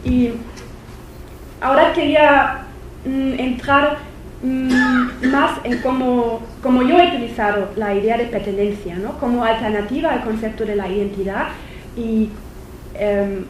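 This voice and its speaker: A woman speaking with a German accent, a non-native speaker giving an academic conference lecture at a university, hesitant, with many fillers and restarts. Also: a little high. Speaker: visiting lecturer. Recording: echoey lecture hall, faint noise.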